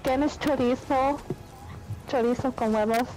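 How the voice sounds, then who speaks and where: Lady from mexico in voice chat, talks slow, kinda high voice, drops english words into her spanish. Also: fairly even intonation, music in the background, low-quality recording. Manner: halting, mixes Spanish and English. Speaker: gamer in voice chat. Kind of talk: casual voice-chat conversation.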